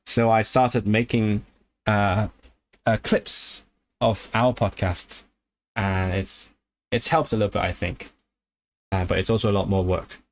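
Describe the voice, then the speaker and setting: A man talks to his viewers in a loose, rambling livestream style. His voice sits a little low. He corrects himself often and fills pauses as he goes. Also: fairly even intonation, creaky. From Hong Kong. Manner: rambling, with fillers and self-corrections. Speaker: language YouTuber on a livestream. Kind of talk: casual livestream chat answering viewers.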